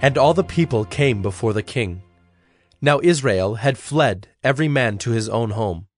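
Measured male scripture narrator, soft music behind. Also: mid-range voice, expressive. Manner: measured, solemn storytelling.

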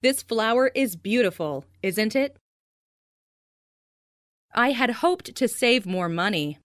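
A woman reads scripted English practice phrases in a clearly enunciated, instructional way. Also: a little high, lively intonation, slow, no room sound, studio quality. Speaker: language lesson narrator.

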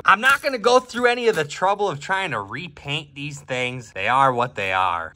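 Lively, bright-voiced young man vlogging. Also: high voice, slight background noise. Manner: upbeat, chatty, addresses viewers as you guys. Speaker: YouTube host. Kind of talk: casual vlog to camera. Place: indoor room of a farmhouse. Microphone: camera held at arm's length.

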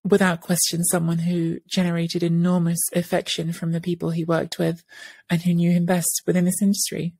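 Husky woman podcaster on a video call. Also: fairly low pitch, monotone, moderate pace, few pauses, a little mellow, no echo. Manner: thoughtful, many restarts and hedging fillers. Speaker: podcast co-host. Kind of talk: casual podcast discussion. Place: home room with bookshelves, indoors. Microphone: earphone headset on video call.

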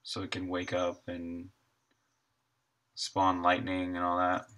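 A man's voice: low voice, monotone, slow pace, pushed and bright. A man in his thirties giving casual think-aloud commentary while he works, half to himself in short fragments, as a game developer streaming.

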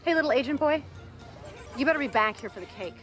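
A woman acting in a comedy-drama as an indignant, bossy, sharp-tongued wife. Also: high-pitched, expressive, fast talker, faint music behind. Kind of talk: scripted screen acting.

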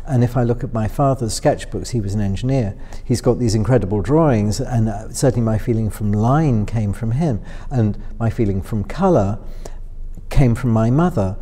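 A middle-aged man from Northwest England with a softened upper-middle-class accent, musing through interview answers with many fillers and restarts. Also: lowish voice, normal speed. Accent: upper-middle-class Northwest English softened by London. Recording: voice with long hall reverb.

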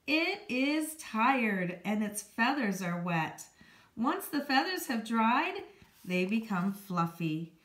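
A woman's voice: medium pitch, slight background noise. A woman, an elementary school teacher, reading a children's nonfiction book aloud with careful phrasing for young listeners. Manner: careful, clearly phrased read-aloud delivery with emphasis for children. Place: indoors at home, hard-surfaced kitchen. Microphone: handheld phone. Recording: echoey room, handheld home recording.

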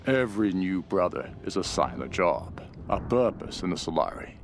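Deep-voiced, hardened cult henchman. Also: very animated, normal speed, a little hiss or hum. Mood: bleak, resigned. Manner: grim, hard-edged, world-weary. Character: hardened, cynical male cult henchman, ruthless survivor. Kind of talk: dramatic journal narration for a video game. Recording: music behind the voice.

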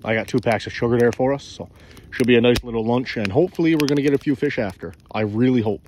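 A young man with a thick Newfoundland accent talks eagerly to his viewers while cooking and fishing outdoors. His voice sits at an ordinary pitch and is picked up close on a handheld camera, with a faint hiss of open air behind it. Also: fast. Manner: enthusiastic, chatty, addresses viewers as guys. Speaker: outdoor vlogger. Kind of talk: casual outdoor vlog chat. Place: outdoors by a river in snow. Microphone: phone or action camera held close.

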